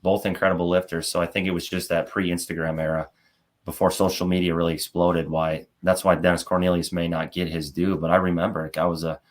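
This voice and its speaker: A man hosting a podcast, talking in a relaxed, conversational way and asking his guest questions. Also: low voice, brisk. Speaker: podcast host. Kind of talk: casual podcast interview.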